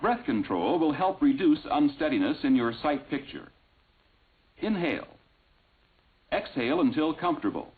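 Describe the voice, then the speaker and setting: A man reading scripted instructional narration in a measured, clearly enunciated way, on an old film soundtrack. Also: on the high side, sing-song. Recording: tinny, band-limited vintage film soundtrack.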